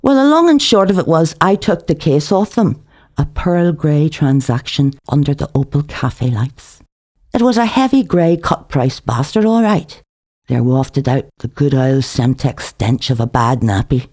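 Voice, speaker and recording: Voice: medium pitch, very animated, a little slow, a little mellow, no echo. Wry Northern Irish storyteller, dry close recording. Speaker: author reading own novel. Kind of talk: dramatic literary audiobook narration.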